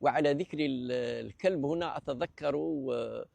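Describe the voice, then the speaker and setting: A man's voice: on the high side, gravelly, darkish tone, dry recording. A man from Algeria giving an unscripted lecture, explanatory and hesitant, with many fillers and restarts. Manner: measured, explanatory. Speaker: presenter of a language talk series.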